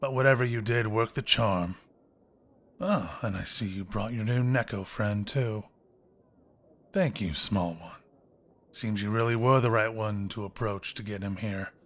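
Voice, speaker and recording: A man acts a scheming fantasy guildmaster with a lowish voice. He talks in a smug, theatrical drawl of mock courtesy, taunting like an audio drama villain. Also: measured pace, fairly bright tone. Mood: smug, amused.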